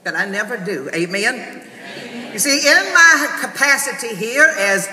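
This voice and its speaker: A man's voice: high voice, animated, pushed and bright, heavily acted. A man playing a prim, scandalized church lady usheress, delivering a pompous mock-sermon comedy monologue as an awards host. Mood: pious, grandiose. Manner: pompous, slow and emphatic, punctuated with amens. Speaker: comic host of a theater awards show. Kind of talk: theatrical stand-up monologue in mock-sermon form. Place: large theater stage before an audience. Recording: echoey theater hall, distant noisy recording. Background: audience noise.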